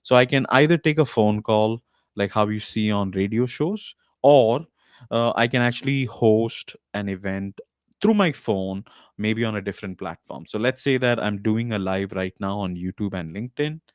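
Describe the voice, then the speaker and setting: A man with a fairly low voice hosts a live podcast stream from a small home setup. He talks in a loose, rambling way, full of "uh"s and restarts. The desk mic sound is dry and close. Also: moderate pace, clean tone, no echo. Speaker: podcast host. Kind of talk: casual live-stream talk. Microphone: desk mic with podcast recorder.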